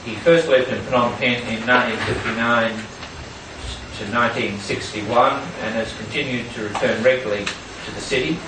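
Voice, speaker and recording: A man's voice: medium pitch, normal speed. Man introducing a speaker. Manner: relaxed, chatty with asides. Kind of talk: informal introduction. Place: lecture room at a forum. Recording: very echoey hall, distant mic, noise.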